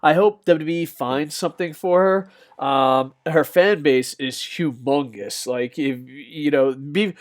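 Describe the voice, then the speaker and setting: Rambling young man with squeaky voice. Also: measured pace, hardly any pauses. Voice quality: a bit squeaky. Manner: rambling, many fillers and restarts. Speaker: wrestling news commentator. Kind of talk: off-the-cuff opinion commentary.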